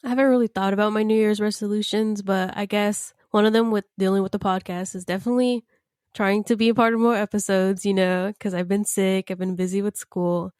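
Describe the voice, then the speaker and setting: Young woman cohost, stuffy nose from being sick, soft plain talk, dry sound. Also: medium pitch, fairly even intonation, brisk, clean tone, no echo. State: stuffy from a cold. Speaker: podcast co-host. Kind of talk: casual podcast chat.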